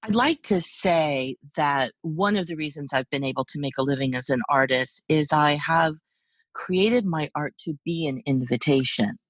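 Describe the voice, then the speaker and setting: A middle-aged woman artist, whose first language is Hungarian, talks reflectively in a podcast interview, animated and full of restarts. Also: low voice, normal speed, no room sound. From United States. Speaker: guest artist.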